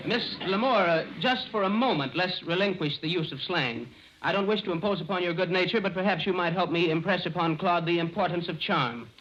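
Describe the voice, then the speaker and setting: Stuffy wordy professor type, high voice, old radio comedy, muffled noisy sound. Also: male voice, brisk, a bit bright. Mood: earnest. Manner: pedantic, over-precise, flowery vocabulary. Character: pompous, bookish man clumsily trying out slang. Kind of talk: 1930s-40s radio comedy acting.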